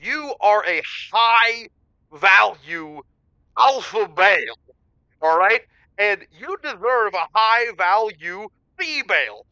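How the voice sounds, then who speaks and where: Cocky alpha bro radio caller, ranty, high sharp voice, dull dry line. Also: male voice, animated, slow pace, smooth, no echo, exaggerated voice. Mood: smug. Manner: self-important, ranting, lecturing. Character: pompous, aggrieved self-styled alpha male radio caller. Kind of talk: comedic radio call-in acting.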